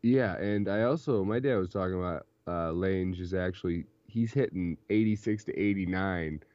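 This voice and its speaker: Young male podcast co-host, very low voice, laughs a lot, dry muffled recording. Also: moderate pace, darkish tone, no echo. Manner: laughs often between points. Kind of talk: casual sports podcast chat.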